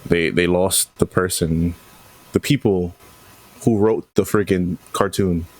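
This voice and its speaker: A man's voice: sits low, steady tone, takes it slowly, a little mellow, slightly rough, rough recording. A man co-hosting a podcast, chatting casually in a wry, slangy, informal way. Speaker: podcast co-host. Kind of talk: casual chat.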